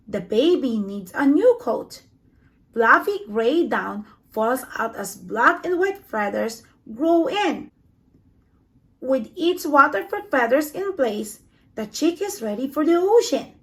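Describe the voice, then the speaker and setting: A woman reading a children's picture book aloud in an expressive storytelling manner, giving extra emphasis to sound words. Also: high-pitched, rough recording. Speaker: storybook reader.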